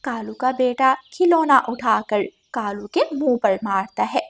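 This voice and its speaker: Slow-paced female storybook narrator. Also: fairly high pitch, creaky. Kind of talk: scripted children's story narration.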